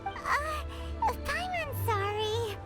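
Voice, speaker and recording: A childlike little fairy girl for an anime game. The voice is piercingly high and bouncy, swooping with cheeky, cutesy excitement. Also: extremely slow, loud music behind the voice, exaggerated voice. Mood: cheeky, sheepish. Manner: squeaky, cutesy, speaks in third person. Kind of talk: anime-style video game voice acting.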